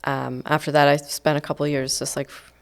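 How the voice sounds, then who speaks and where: Low-voiced woman composer from Iceland. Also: flat delivery, fairly quick, gravelly. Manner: thoughtful, hesitant, many fillers. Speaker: guest composer. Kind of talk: casual podcast interview.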